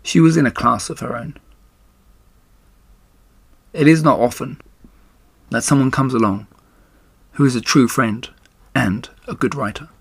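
A man narrates a children's book in measured, expressive phrases. His voice is gravelly and husky, recorded dry and close. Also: medium pitch, dry recording. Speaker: storyteller reading aloud.

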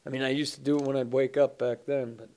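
A man's voice: mid-range voice, flat delivery, fast talker, mellow. A man hosting a casual podcast, congested and phlegmy, swearing often and joking offhand as he reads through dates in history. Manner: swears often, offhand jokes, stumbles over names. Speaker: podcast host. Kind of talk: casual solo podcast, reading daily history.

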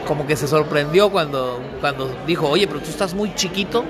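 A man's voice: fairly high pitch, brisk, over music. A middle-aged man, a singer-songwriter being interviewed, telling stories casually with slang and frequent laughter. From Mexico.

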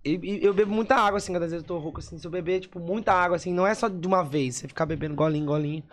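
A man's voice: high voice, rapid-fire. A man who sings in a duo, speaking in an easygoing way and often ending sentences with "né" in a casual interview. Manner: easygoing, lots of "né" tags. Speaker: singer in a duo.

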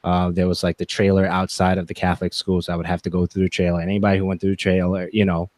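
A man in his forties talking reflectively as a podcast guest, with halting, deliberate phrasing. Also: deep voice, completely flat delivery, a little mellow, no echo. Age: 40s. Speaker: podcast guest, gaming content creator. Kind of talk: reflective interview talk.

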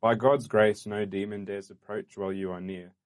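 Earnest low-voiced male podcast host. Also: fairly even intonation. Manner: earnest, measured and welcoming, with fillers. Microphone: studio microphone.

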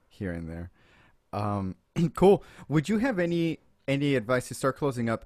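A man hosting an interview, asking relaxed closing questions. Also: fairly low pitch, no echo. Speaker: podcast host.

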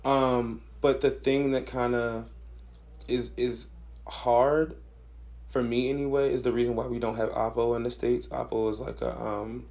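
A hoarse young man with a raspy voice, co-hosting a podcast in slangy casual chat. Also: medium pitch, unhurried. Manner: relaxed, slangy, thinks aloud with fillers. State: hoarse, losing his voice. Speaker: podcast co-host.

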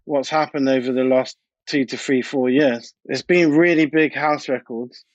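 A man's voice: medium pitch, steady tone, moderate pace, no echo, highs cut off. A British man talking casually and anecdotally as a DJ and producer being interviewed, in informal, colloquial speech. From United Kingdom. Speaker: interview guest, DJ and music producer.

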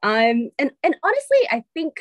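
A woman's voice: high voice, big pitch swings, normal speed, fairly bright tone, no echo, clean studio sound. A woman in her thirties from Singapore, with an accent shifting between Singaporean and American English, chatting casually as an interview guest with lots of ums and likes. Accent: mix of Singaporean and American English. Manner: chatty, many fillers like um and like. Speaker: venture capital partner, guest.

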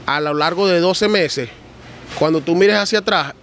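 A man's voice: on the high side, moderate pace, a bit bright, slight background noise. A man who grew up in New York, chatting as a podcast guest and telling his story in loose, slangy talk. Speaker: guest, trader. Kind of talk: casual podcast chat.

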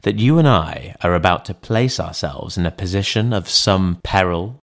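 A man reading the part of a sober, rational gentleman lawyer in an audiobook, in a measured, formal manner. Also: sits low, vocal fry. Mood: frank, serious.

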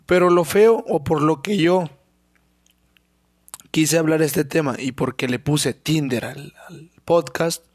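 A young man with a Mexican accent hosting a casual solo podcast, hoarse from a sore throat, rambling and swearing freely and clearing his throat often. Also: a little high, slow pace, long pauses, dry recording, clean studio sound. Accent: Mexican Spanish. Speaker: podcast host.

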